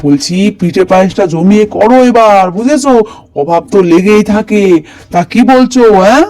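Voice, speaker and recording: Man voicing a high-pitched doting village husband. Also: expressive, normal speed, darkish tone, dry recording. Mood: pleased. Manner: coaxing, repeats phrases. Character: cheerful, doting village farmer husband and father doll. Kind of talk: Bengali doll cartoon voice acting.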